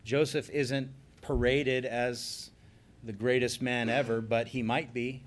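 A man preaching a sermon, earnest and emphatic, slowing down on key phrases and then leading a closing prayer. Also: mid-range voice, level tone, a little hiss or hum. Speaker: pastor.

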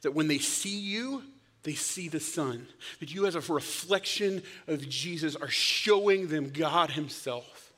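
A man preaching a storytelling sermon, his voice bright and a touch high. He jumps from loud, punchy bursts to hushed whispers. A long hall reverb trails behind every phrase. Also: measured pace.

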